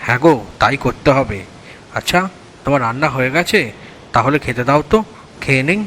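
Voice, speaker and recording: A man's voice: medium pitch, brisk, fairly bright tone, a little hiss or hum, close to an everyday voice. A man playing a hardworking village father and woodcutter in a cartoon, talking in short, instructing phrases. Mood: matter-of-fact. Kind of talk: cartoon dialogue. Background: background music.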